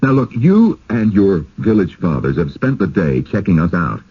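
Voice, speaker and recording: An actor playing a proud, irritable and stubborn husband in a radio drama, speaking bluntly with indignant, halting repetitions. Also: male voice, deep voice, sing-song, slightly rough, full character voice. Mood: testy, firm. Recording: dull lo-fi old radio broadcast.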